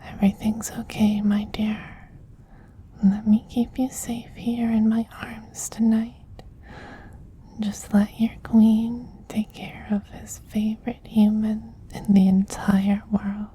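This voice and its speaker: A man voicing a doting, possessive femboy vampire queen in a soft, breathy whisper, slow, tender and gently commanding, as in an ASMR roleplay. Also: high-pitched, little pitch movement, slight background noise. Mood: soothing, loving.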